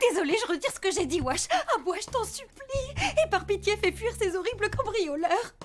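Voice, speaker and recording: A woman voicing a bossy, anxious female pet in an animated cartoon, assertive and melodramatic. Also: very high voice, brisk. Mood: pleading. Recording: voice over loud cartoon music.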